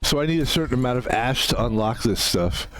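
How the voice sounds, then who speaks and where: A man's voice: medium pitch, expressive, brisk, rough recording. A man streaming a video game, chatting casually and rambling, repeating himself and filling with "like" and "you know". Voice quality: describes his own voice as soft. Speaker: gaming streamer. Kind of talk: casual gameplay commentary.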